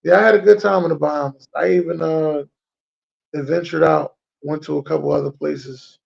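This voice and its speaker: A man with an American accent and a fairly high voice chats as an interviewer. His delivery is laid-back and slangy, with repeated phrases and plenty of gravel. The audio is dull and lo-fi. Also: fairly even intonation, normal speed. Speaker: podcast host interviewing a guest. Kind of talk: casual interview chat.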